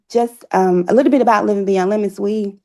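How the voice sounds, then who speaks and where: A woman chats as a guest in an interview. Her voice sits at a middle pitch with noticeable creak. She talks effusively and laughs easily. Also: normal speed, warm tone. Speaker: interview guest, author and playwright. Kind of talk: casual interview conversation.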